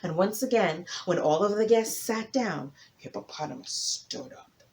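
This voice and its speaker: A woman narrating a folktale as a storyteller, with theatrical emphasis and dramatic pauses. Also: medium pitch, darkish tone.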